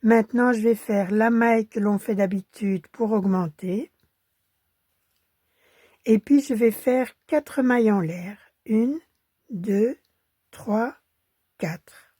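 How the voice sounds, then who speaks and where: A woman with a Belgian French accent, hoarse from a cold, calmly teaching a crochet pattern step by step and counting stitches under her breath. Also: medium pitch, slow, long pauses, no room sound, clean studio sound.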